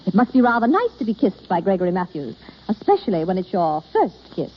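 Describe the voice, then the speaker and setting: An actress playing a frank, sympathetic young society woman with an animated, teasing manner in a 1930s radio drama. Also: mid-range voice, fast talker, lightly acted. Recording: scratchy, muffled old radio broadcast.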